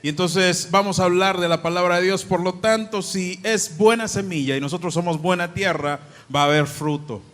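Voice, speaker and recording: A Dominican-accented man preaching in a church, his fairly high voice carried on a long hall reverb. He moves between fervent prayer, scripture reading and easy chat with the congregation. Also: normal speed, hardly any pauses, fairly bright tone. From Dominican Republic. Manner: prays and reads scripture aloud, then talks chattily to the congregation. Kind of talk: sermon.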